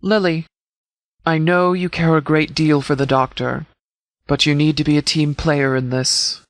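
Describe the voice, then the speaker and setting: An actor playing a stern, calculating sheriff who commands a post-apocalyptic militia in a video game, speaking in a formal, measured, authoritative way. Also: male voice, a little high, fairly even intonation, dry recording. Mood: firm, guarded. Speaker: sheriff and faction leader. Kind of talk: video game dialogue, briefing.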